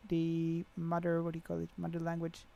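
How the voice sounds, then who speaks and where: A man's voice: a little high, completely flat delivery, brisk, gravelly, warm tone. A young man with a Swedish accent, chatting casually on a flight sim livestream and reading out viewer comments, with many fillers. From Sweden.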